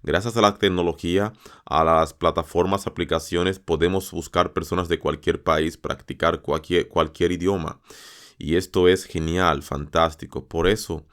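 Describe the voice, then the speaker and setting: A man with a very low voice hosts a lesson podcast for learners of his language. He addresses his listeners directly and encourages them. He repeats words and phrases for emphasis. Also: steady tone, normal speed, a bit bright. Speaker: Spanish teacher and podcast host.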